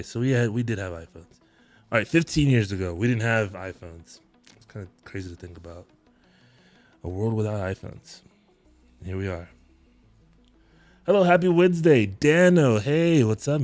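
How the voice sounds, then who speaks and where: A man in his thirties hosting a live tech-deals stream from his home setup, chatting in a relaxed, rambling way with frequent chuckles into a close boom mic. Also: no echo. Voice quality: deep voice. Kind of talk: casual live-stream chat. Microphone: boom mic close to mouth, headphones on.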